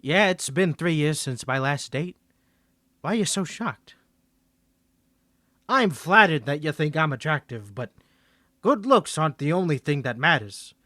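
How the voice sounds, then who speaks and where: A man's voice: a little high, animated, measured pace, long pauses, pushed and bright, no echo, studio quality. A man playing a suave, flirty mafia boss in a cartoony 1950s voice, speaking politely and self-assuredly in a scripted roleplay. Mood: courteous, flirty.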